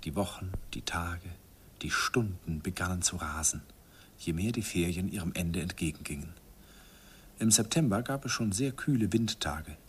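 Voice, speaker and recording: A man voicing a deep-voiced wistful narrator. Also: moderate pace, slight background noise, close to an everyday voice. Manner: wistful, self-ironic reminiscing. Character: grown man fondly recalling his youth, self-mocking. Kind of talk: radio play narration.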